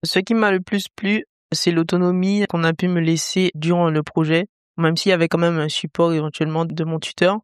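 A woman in her late twenties with a low, smooth and resonant voice speaks carefully, pausing often on small hesitations. Her tone stays flat, and the sound is dry and close. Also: dry recording. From Martinique. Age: 20s. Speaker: engineering master's student and intern.

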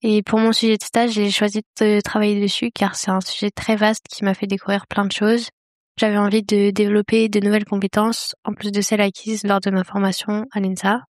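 A woman in her twenties from the Paris region, an engineering intern, describing her internship in an even, composed way. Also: mid-range voice, a little fast, no echo, studio quality. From Paris region, France. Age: 20s.

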